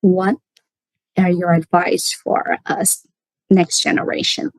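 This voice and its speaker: A young woman guest on a podcast, asking the host a question in a halting way with many pauses. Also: on the low side, little pitch movement, dry recording, low-quality recording. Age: young adult.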